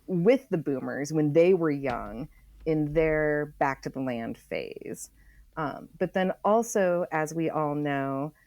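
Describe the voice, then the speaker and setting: A middle-aged American woman explaining in conversation as an interview guest, thoughtful and halting, leaning on key phrases. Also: low voice, a little mellow, no room sound. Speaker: author guest on a podcast.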